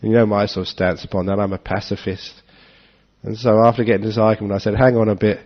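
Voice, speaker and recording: A man giving a relaxed Dharma talk to an audience, joking and chuckling as he answers questions. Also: on the low side, fast. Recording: dull lo-fi talk recording.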